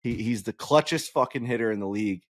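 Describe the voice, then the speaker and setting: A man's voice: mid-range voice, fast talker, no echo. Ranting man on a dry studio mic. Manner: animated, ranting, swears freely. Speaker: podcast co-host. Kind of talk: casual sports chat.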